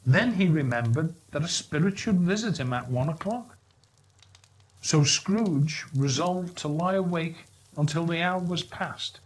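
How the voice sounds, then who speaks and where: A man reading a storybook aloud in an expressive narration, with measured, deliberate and dramatic phrasing. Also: fairly high pitch, breathy edge. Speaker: story reader.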